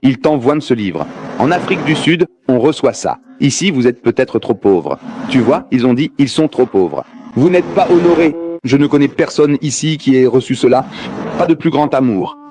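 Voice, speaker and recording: A man's voice: mid-range voice, expressive, moderate pace, noisy background. A man giving a public lecture on religion, asking the audience rhetorical questions and joking as he goes.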